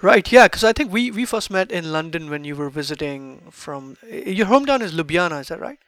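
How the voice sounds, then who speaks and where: A man hosting a podcast interview, asking questions in a halting way with many restarts. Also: on the high side, expressive, a bit bright, no room sound. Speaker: podcast host. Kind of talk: casual interview.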